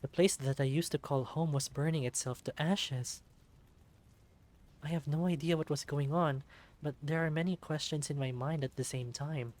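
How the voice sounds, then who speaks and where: A man reading a visual novel aloud as narrator, in an expressive, dramatic storybook style. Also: a little high, moderate pace.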